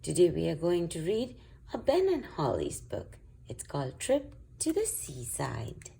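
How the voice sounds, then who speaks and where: Slow, expressive woman reading a storybook aloud. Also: low voice, vocal fry, slight background noise. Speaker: children's book reader and channel host. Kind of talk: read-aloud storybook narration.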